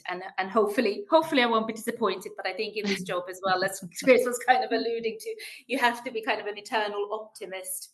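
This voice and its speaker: A woman from Finland speaking as a podcast guest, friendly and thoughtful with some repeated words. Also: mid-range voice. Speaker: guest, ESG manager. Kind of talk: conversational podcast interview.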